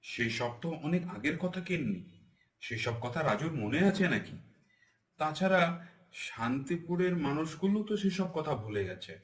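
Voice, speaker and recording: A man's voice: on the high side, lively intonation, moderate pace, natural voice. Man acting an insistent cartoon merchant father. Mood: dismissive. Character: persuasive, dismissive middle-aged merchant father.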